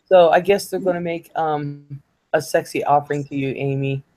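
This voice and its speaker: A woman's voice: sits low, fairly even intonation, moderate pace, mellow, slight background noise. A woman hosting a livestream in casual chat, chatty and laughing, with many fillers and free swearing. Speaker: livestream host.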